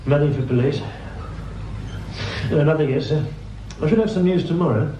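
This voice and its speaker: Actor playing an English desk sergeant, echoey room. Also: male voice, a little high, moderate pace, lo-fi sound, natural voice. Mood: businesslike. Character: chatty police station desk sergeant. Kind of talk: radio drama acting. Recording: echoey, distant, noisy radio drama recording.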